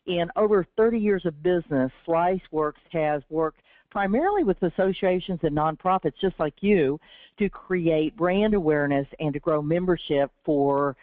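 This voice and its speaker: A woman with a sore, slightly raspy throat presents a webinar from a prepared script in an earnest, encouraging way. Her voice comes through a thin, phone-like line with no room sound around it. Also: on the low side, normal speed, dry recording. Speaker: webinar host from a business development team. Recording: tinny, dry phone-line audio.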